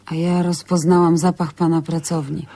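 An actress playing a wistful, philosophizing woman in a radio drama, dreamy and deliberate. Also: fairly low pitch, little pitch movement, dark tone. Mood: subdued.

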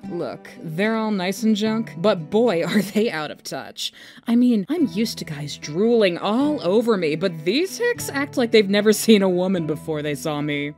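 A woman's voice: medium pitch, big pitch swings, measured pace, a little mellow, faint music behind. A woman voicing a vain, sassy fish woman who is flirty and self-assured. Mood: mocking.

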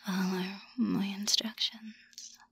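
A woman guides a hypnosis session in an extremely breathy, unvoiced ASMR whisper. She speaks slowly and leaves drawn-out pauses between phrases. Her delivery is soothing and suggestive, with a commanding edge to each instruction. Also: mid-range voice, level tone, fairly bright tone, no room sound, clean studio sound.

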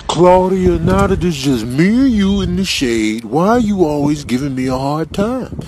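Casting: male comic as needy, dramatic lovesick lion, high and very animated, pleading wail, comedy voiceover. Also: background noise, natural voice. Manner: pleading, drawn-out wailing. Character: whiny, heartbroken lovelorn male lion.

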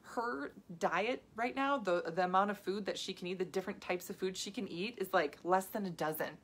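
A woman's voice: mid-range voice, brisk, breathy edge. A woman in her thirties, a health coach, chatting casually to viewers on a live video with many asides and restarts.

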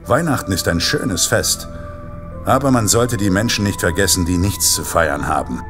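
An actor playing a mysterious, vengeful Santa Claus killer in a horror audio drama, grave and moralizing with a rough, measured delivery. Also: male voice, low-pitched, animated, music in the background. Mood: grave, brooding.

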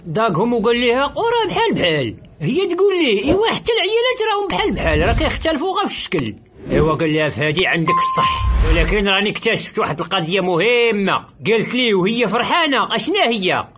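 A man with a Moroccan accent telling short comic stories as a lively narrator. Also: sits high, fast talker, noisy background. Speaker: joke teller, narrator. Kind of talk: animated comic storytelling of short jokes.

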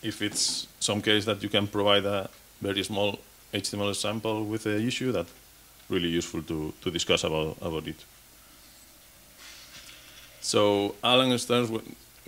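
Man, 30s, lowish voice, speaking from a podium at a conference, restarting and repeating words as he goes. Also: fairly bright tone. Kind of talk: technical conference talk. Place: conference stage.

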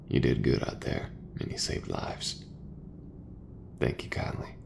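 A man plays a gentle mech mechanic in a sci-fi ASMR roleplay. His voice is extremely deep and thick with gravel, murmured softly and close to a whisper. He is unhurried and reassuring, with rumble and clicking noise clearly behind him. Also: heavily acted. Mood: warm, reassuring. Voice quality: very low, soft, breathy murmur, often near whisper.

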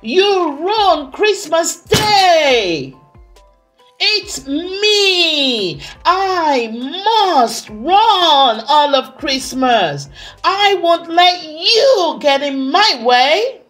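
A woman's voice: very high voice, big pitch swings, extremely slow, fairly bright tone, faint music behind, pitched above the performer's own voice, full character voice. A woman voicing a furious, bossy male head elf in a children's storybook, screeching and whining imperiously.

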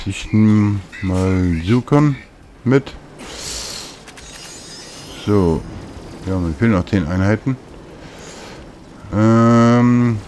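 A man's voice: fairly low pitch, darkish tone, slight background noise. A man casually commenting on a video game as he plays, muttering to himself, with game music behind him. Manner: chatty, mutters to himself while playing. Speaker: let's play host.

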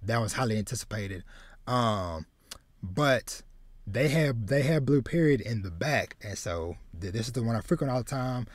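Podcast host, male, 30s, mid-range voice, halting repeated starts, conversational, dry recording. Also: medium pitch, expressive, dry recording. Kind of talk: casual chat about anime and manga. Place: small home room with shelves, video call.

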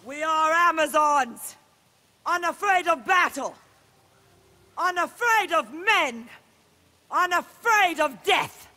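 Amazon warrior queen, proud, fearless, bright declamatory voice, dramatic game acting. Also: very high voice, expressive, takes it slowly, long pauses, full character voice. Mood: defiant. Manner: defiant, declamatory.

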